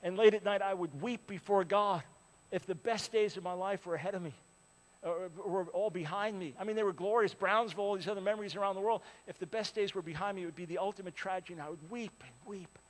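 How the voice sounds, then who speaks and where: A man in his sixties preaching a sermon, swinging from loud appeals to hushed, pleading lines. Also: high-pitched, steady tone, fast, big-room sound, rough recording. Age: 60s. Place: large church with a balcony.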